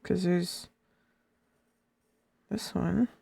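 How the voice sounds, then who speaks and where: A woman streamer with a gravelly voice, chatting casually and rambling to herself between laughs. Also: fairly low pitch, fairly even intonation, drawn-out words, dark tone. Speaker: live streamer.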